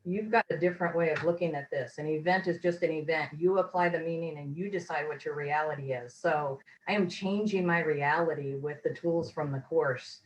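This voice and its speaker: A woman being interviewed over a video call, talking earnestly and reflectively in a rambling way, her voice a little hoarse. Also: sits low, brisk, few pauses, rough recording. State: slightly hoarse. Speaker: course graduate, interviewee. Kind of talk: casual testimonial interview.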